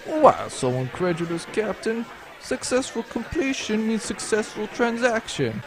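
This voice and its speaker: An actor playing a smug, treacherous baron in a space adventure audio drama, mock-genial and pompous. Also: male voice, sits high, sing-song, a little slow, heavily acted. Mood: genial, sly. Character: smug, treacherous male baron, smoothly menacing villain.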